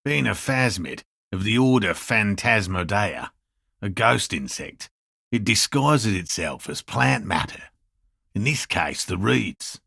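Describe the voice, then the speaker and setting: Game role: obsessive, courteous male field scientist, husky and crackling, lively pitch, fussy scholarly delivery. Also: takes it slowly, no room sound, studio quality, exaggerated voice. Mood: explaining, scholarly. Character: earnest eccentric male cryptozoologist scientist, obsessive but courteous. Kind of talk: video game dialogue voice lines.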